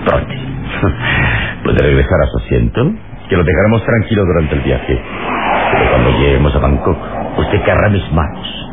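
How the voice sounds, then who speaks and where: An actor playing a calm, mocking hero in an old radio serial, taunting deliberately with menace. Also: male voice, a bass voice. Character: calm, mocking adult hero confronting a villain. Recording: tinny, noisy old radio serial recording.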